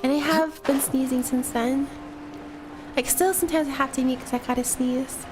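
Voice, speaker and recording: A woman's voice: high voice, steady tone, normal speed, music in the background. A woman streaming a game, talking casually to her viewers while stuffy from a cold. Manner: chatty, talks to viewers between game lines. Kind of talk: casual live-stream chat.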